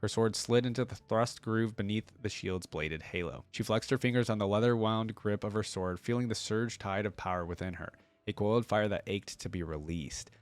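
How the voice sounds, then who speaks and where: A man's voice: fairly low pitch, moderate pace, hardly any pauses, dry recording. A man hosting a reaction video, reading a story aloud and commenting in a casual, slangy way.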